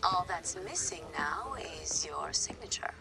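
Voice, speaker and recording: An assured female sports agent with a lowish voice. She is measured, formal and businesslike, in a video game scene with music clearly behind her. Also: animated, heavily acted. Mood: confident, businesslike. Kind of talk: naturalistic game cutscene acting.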